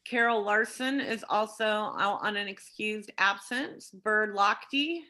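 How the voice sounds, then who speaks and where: A woman's voice: medium pitch, takes it slowly, sharp tone. A woman chairing a public meeting informally over a video call.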